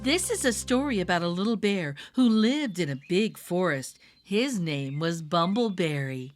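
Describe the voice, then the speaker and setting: A woman reading a children's story aloud with expressive, animated narration, addressing young listeners. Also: on the low side, measured pace, soft background music.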